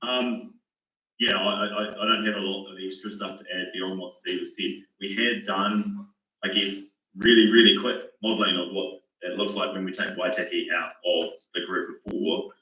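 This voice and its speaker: Hoarse low-voiced man, cautious and hesitant. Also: fairly even intonation, moderate pace, bright, forceful tone. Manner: cautious, hesitant, many restarts. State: hoarse, recovering from a cold. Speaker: financial modelling consultant. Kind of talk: reporting to a council meeting. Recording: roomy, echoey, distant from the mic.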